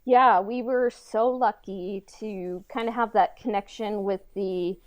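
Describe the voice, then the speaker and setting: A woman's voice: mid-range voice, fairly even intonation, a little slow. Woman chatting casually, dull lo-fi sound. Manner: hesitant, frequent "like" and "you know". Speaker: podcast co-host. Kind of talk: casual chat.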